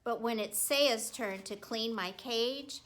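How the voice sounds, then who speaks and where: Female storybook narrator, teacher-like: expressive, deliberate, bright tone, unhurried pace. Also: fairly high pitch, slight background noise. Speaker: teacher reading a storybook aloud. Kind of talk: expressive children's audiobook narration.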